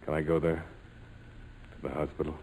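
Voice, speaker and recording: An actor playing a curt, world-weary former army major in a 1940s radio drama, with terse, hard-bitten delivery. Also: male voice, very deep voice, little pitch movement, fairly quick, creaky, a little mellow. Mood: curt, grieving. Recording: noisy vintage radio broadcast recording.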